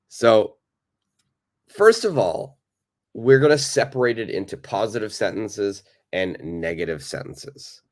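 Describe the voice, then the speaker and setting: Deep raspy-voiced male English teacher. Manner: friendly, greets viewers by name, repeats points slowly. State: has a cold, raspy voice. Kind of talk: live online English lesson.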